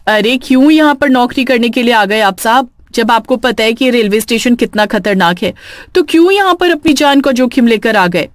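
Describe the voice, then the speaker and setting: A woman's voice: on the high side, moderate pace, hardly any pauses, no echo, natural voice. A woman voicing an alarmed, fearful local woman in a cartoon horror story. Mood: warning.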